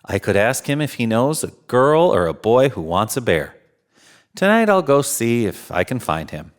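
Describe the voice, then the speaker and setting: A man's voice: mid-range voice, big pitch swings, moderate pace, close to an everyday voice. A man voicing a small, wistful, hopeful male teddy bear in an earnest, wondering way for a children's storybook.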